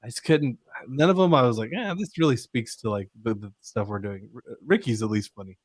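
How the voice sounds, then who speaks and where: A man's voice: mid-range voice, brisk, slightly rough. A middle-aged man co-hosting a podcast in casual, joking chat, rambling and often repeating his words as he goes. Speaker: podcast co-host.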